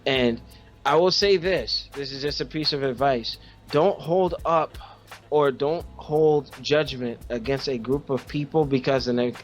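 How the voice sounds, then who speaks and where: A man co-hosting a podcast, telling personal stories casually, stopping and repeating words as he makes his point. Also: mid-range voice. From Haiti. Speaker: podcast co-host.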